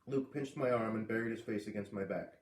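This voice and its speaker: A man reading a children's book aloud, expressively, with dramatic pauses on key words. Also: lowish voice, darkish tone. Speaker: read-aloud reader. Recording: echoey room, distant microphone.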